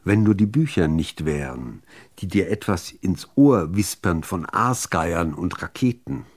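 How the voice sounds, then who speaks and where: A man reading literary prose as a radio narrator, in a measured, deliberate delivery with dramatic pauses. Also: deep voice, lively intonation.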